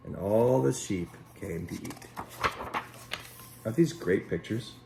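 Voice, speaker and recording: A man reading a children's story aloud in an expressive, dramatic way, pausing to speak directly to young listeners. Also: lowish voice, gravelly, noisy background. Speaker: Sunday school storyteller. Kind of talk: animated children's story read aloud with asides. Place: at home.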